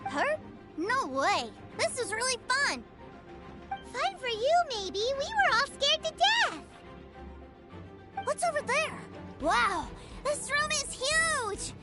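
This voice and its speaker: Excitable small child, extremely high voice, unhurried pace, innocent, exclamatory, game acting. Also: female voice, exaggerated voice. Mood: excited. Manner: wide-eyed, exclamatory. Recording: loud music under the voice.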